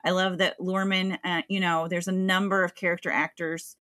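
A woman's voice: on the low side, level tone, moderate pace, fairly bright tone, no echo, studio quality. A woman co-hosting a casual film podcast, talking in a rambling way with many fillers. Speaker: podcast co-host.

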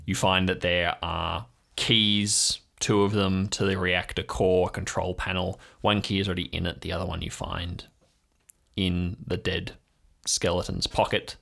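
Deep gravelly male game master. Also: flat delivery, a little slow, a bit bright. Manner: descriptive, atmospheric. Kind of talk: improvised second-person story narration.

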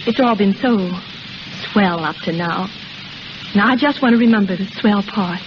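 An actress playing a sincere, wounded young shop girl in a 1930s radio melodrama, earnest and halting. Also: mid-range voice, natural voice. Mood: resigned, wistful. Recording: noisy, dull old 1930s radio recording.

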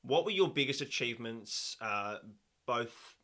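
A young man hosting a casual interview, relaxed and laughing easily. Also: medium pitch, unhurried. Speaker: podcast host.

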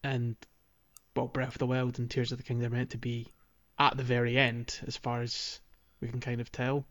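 A man's voice: mid-range voice, completely flat delivery, moderate pace, a bit bright. A man co-hosting a podcast, casually discussing video games in conversation with others. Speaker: podcast co-host.

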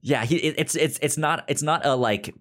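A man co-hosting a podcast in casual chat about a TV drama, halting and often repeating or stammering on words. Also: fairly high pitch, a bit bright. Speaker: podcast co-host.

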